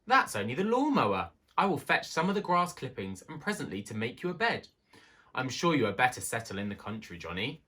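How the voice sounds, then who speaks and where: Man putting on a timid, high-pitched country mouse. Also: sing-song, a little fast, pushed and bright. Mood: reassuring. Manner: flustered, eager to please. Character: timid, polite male country mouse, anxious and earnest. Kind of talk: storybook character voice.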